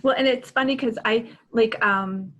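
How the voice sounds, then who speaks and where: A woman in her forties with a fairly high voice that has some rasp in it. She co-hosts a casual book chat over a video call, speaking with enthusiasm and emphasis. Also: moderate pace, a bit bright. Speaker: podcast co-host, author. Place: small room at home.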